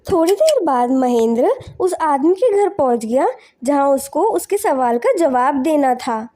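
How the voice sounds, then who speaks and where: A woman's voice: sits high, big pitch swings, unhurried, mellow. A woman narrating a scripted moral tale in storybook style.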